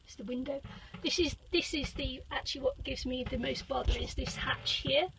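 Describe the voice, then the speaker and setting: An older woman with a squeaky voice giving a rambling, hesitant craft room tour from a small boat cabin, filming on a handheld phone. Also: steady tone, moderate pace, creaky, poor audio. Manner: rambling, hesitant with many fillers, giggly. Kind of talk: casual live-stream tour.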